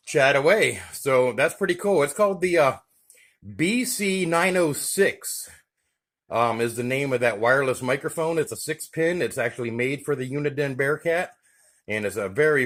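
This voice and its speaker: A man's voice: mid-range voice, fairly bright tone. An American man speaks in a mid-range voice. He has a folksy, chatty manner and talks straight to his viewers in an easygoing vlog style, as a radio hobbyist would. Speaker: YouTube vlogger, CB radio hobbyist. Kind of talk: casual vlog talk to camera.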